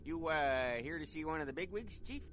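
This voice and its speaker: An actor playing a dim, rambling night security guard who talks eagerly and chuckles at himself. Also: male voice, on the high side, expressive, a little fast, a bit bright, slight background noise. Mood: friendly. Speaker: front desk security guard.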